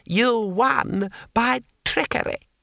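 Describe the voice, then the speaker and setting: A man voicing a cold, cruel, scheming male imperial lord in an audiobook, with a slow, haughty drawl. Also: very high voice, creaky, bright, forceful tone, no room sound, clean studio sound, voice pushed up, exaggerated voice. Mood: menacing. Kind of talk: audiobook character voice.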